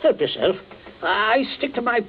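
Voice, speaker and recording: A man's voice: high voice, big pitch swings, moderate pace. An actor playing a middle-aged father of the house, breezy and falsely reassuring, in an old radio drama. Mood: hearty. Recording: muffled old radio recording with hiss.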